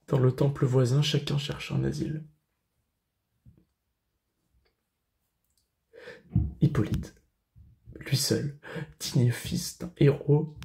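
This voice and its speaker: A male actor plays a solemn, grieving man of classical tragedy, recounting horror in measured verse. The voice is dark and mellow with a heavy gravelly creak. He declaims very slowly, leaving dramatic pauses between phrases. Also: mid-range voice. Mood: urgent, intense. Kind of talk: classical French verse tragedy monologue, self-tape audition.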